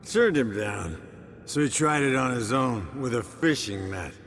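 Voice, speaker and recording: An actor playing an easygoing, rugged monster hunter in a fantasy game, speaking in clipped, colloquial phrases. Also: male voice, mid-range voice, expressive, a little slow, fairly bright tone, in a large hall, a little hiss or hum. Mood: amused storytelling.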